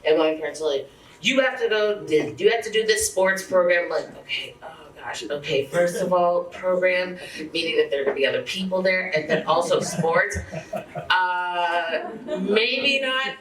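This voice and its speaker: A woman's voice: fairly low pitch, moderate pace. Woman, self-deprecating speaker, echoey hall, far from the mic. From Lowell, Massachusetts. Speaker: event speaker. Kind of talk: casual speech to an audience. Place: event hall.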